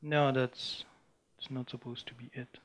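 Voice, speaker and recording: A man from Bulgaria, giving a technical conference talk with a live demo and talking in a halting way, with many restarts and fillers. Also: mid-range voice, flat delivery. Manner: halting, frequent restarts and fillers while demoing. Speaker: conference speaker. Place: conference room before an audience.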